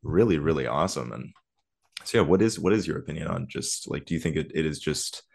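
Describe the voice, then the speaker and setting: A man's voice: very deep voice, animated, fairly quick, creaky, a little mellow, husky. A man hosting a podcast interview, asking long, rambling questions with many hesitations. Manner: rambling, hesitant questions full of fillers and restarts. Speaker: podcast host.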